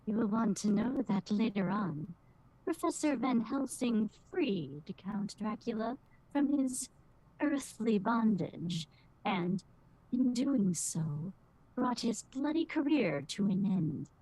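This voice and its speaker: A woman playing a wry, ominous storyteller who closes a horror tale in measured, old-time radio style. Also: mid-range voice, animated, warm tone, husky, low-quality recording. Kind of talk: 1940s radio acting, scripted narration.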